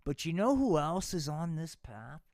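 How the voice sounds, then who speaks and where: A man's voice: on the high side, big pitch swings, measured pace, a little mellow, dry recording, clean studio sound. A man hosting a live puppet show, narrating in a rambling, profane, slangy way. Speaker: puppeteer host.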